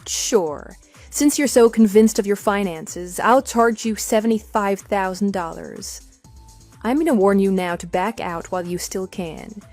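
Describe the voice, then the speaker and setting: A woman's voice: mid-range voice, unhurried, darkish tone, faint music behind, close to an everyday voice. A woman playing a coolly sarcastic wronged wife in a radio drama, speaking in a dry, firm, matter-of-fact way. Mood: firm, warning. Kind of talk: scripted radio drama dialogue.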